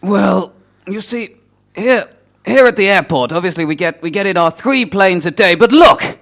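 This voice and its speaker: A man's voice: high voice, animated, normal speed, gravelly, fairly bright tone, heavily acted. A man playing a flustered, pedantic airport boss in an improvised comedy radio play, stammering and fussy. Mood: puzzled, hesitant.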